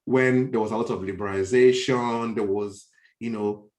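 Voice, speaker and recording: A man's voice: lowish voice, fairly even intonation, moderate pace, a little mellow. Nigerian man talking in an echoey room. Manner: emphatic, repeats phrases as he reasons. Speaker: podcast discussion panelist. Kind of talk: conversational political discussion. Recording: roomy, echoey online call.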